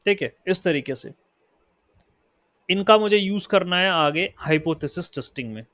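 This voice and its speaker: A man with an Indian accent teaching a statistics lesson. He explains in Hindi and reads definitions out slowly in English. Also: high-pitched, lively intonation, fairly bright tone, no room sound. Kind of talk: lecture.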